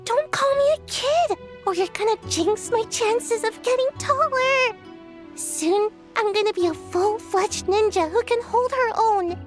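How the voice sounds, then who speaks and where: A drowsy kid ninja for a game, very high and touchy, faint music behind. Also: female voice, measured pace. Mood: defensive. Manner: drowsy, a little defensive. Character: sleepy young ninja girl, touchy about her height. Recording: game audio with soft music underneath.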